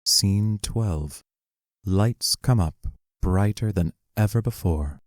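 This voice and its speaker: A man with a low voice narrates stage directions for an audio drama. He is slow, measured and matter-of-fact, in a dry, close recording. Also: no room sound. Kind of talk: scripted narration of audio play.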